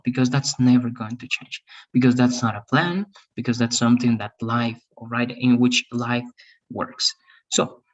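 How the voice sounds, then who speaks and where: A man's voice: medium pitch, highs cut off. A man teaching English grammar online, patiently checking understanding as he goes. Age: 30s. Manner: patient, explains step by step, many "all right?" checks.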